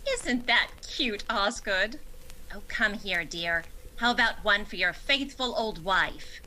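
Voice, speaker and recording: Lady acting a sweet coaxing middle aged wife, slow bright voice, old time radio comedy. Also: mid-range voice, animated, a little hiss or hum, heavily acted, rough recording.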